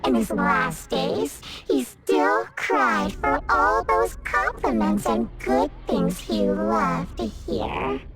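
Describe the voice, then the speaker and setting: An eerie unhinged servant spirit. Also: female voice, mid-range voice, huge pitch swings, slow pace, few pauses. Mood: mournful. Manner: swings between mournful and giggling, repeats herself. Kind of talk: video game cutscene voice acting. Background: loud game music.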